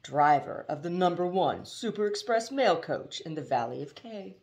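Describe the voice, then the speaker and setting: A woman voicing an enormous, jolly male bus driver with a booming voice and stuttering repeats. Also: expressive, moderate pace, vocal fry, a little mellow. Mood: hearty, obliging.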